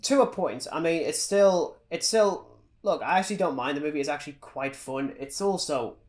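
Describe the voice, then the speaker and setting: A man's voice: a little high, normal speed. A man co-hosting a podcast, chatting casually and giving wry, opinionated takes on films and games. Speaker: podcast co-host.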